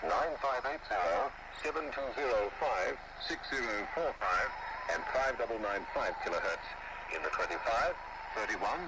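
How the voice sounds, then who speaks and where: A man's voice: medium pitch, animated, moderate pace. A man reading a formal scripted station announcement on shortwave radio. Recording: very noisy shortwave radio reception.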